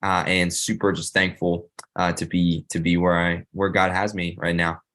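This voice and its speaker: A man in his twenties speaks as a guest, warm and reflective. His voice sits very low. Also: flat delivery, moderate pace, a little hiss or hum. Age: 20s. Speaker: worship and missions director. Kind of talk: casual chat.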